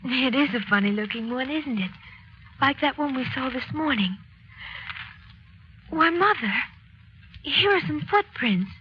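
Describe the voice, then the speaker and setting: A woman's voice: high voice, expressive, measured pace, long pauses. A woman playing a frightened twelve-year-old girl with a plaintive, hesitant manner in a 1930s radio drama. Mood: weary, curious. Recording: noisy, muffled old radio broadcast.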